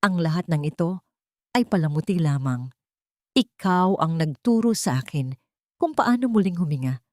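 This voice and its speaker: A woman's voice: lowish voice, lively intonation, normal speed, pauses often, darkish tone, dry recording, clean studio sound, close to an everyday voice. A woman with a Filipino accent voicing an earnest young heir who pleads with his love in a narrated romantic drama. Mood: earnest pleading.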